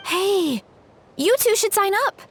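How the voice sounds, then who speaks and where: A woman voicing a sweet, modest young farm woman in a video game, speaking in a gentle, friendly, reassuring way. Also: very high-pitched, animated, slow, slight background noise, exaggerated voice. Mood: cheerful, inviting. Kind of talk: animated video game voice acting. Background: soft background music.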